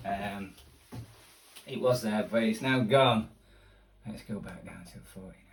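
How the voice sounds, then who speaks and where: A man's voice: on the low side, measured pace. A man giving casual, unscripted commentary as a radio hobbyist, rambling hesitantly and thinking aloud while he tunes. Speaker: shortwave radio hobbyist presenter. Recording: distant mic with radio audio behind.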